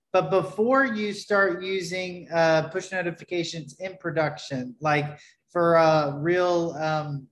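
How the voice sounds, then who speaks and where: A man in his thirties giving a relaxed screen-share tutorial on his product, talking loosely with many fillers and restarts from a webcam at his desk. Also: fairly high pitch, echoey room. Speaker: developer presenting his product. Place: small home room, indoors.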